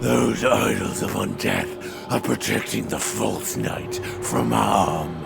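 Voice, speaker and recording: An actor voicing a grim, commanding dark-fantasy guide in a game, with a gravelly, portentous delivery. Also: male voice, fairly low pitch, wildly swooping pitch, takes it slowly, over loud music, full character voice.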